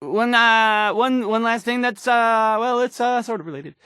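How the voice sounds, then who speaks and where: An actor playing a nervous, bumbling, insecure male radio DJ in a video game, with a halting, stammering, unsure delivery. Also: extremely high pitch, sharp tone, clean tone, dry recording, studio quality, heavily acted. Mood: nervous, flustered. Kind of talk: in-character radio news broadcast, video game voice acting.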